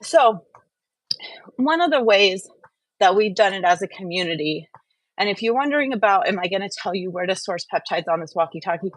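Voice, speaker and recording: A woman's voice: medium pitch, expressive, brisk, no echo, poor audio. A woman hosting a casual livestream while walking on a treadmill, talking frankly and directly to her viewers through earbuds. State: warming up from exercise. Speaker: wellness channel host. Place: indoors at home on a treadmill.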